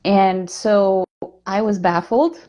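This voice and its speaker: A woman from Poland, a nutritional therapist and nurse, talking in an interview with many pauses and fillers. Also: medium pitch, lo-fi sound. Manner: hesitant, many fillers, soft-spoken. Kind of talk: interview chat.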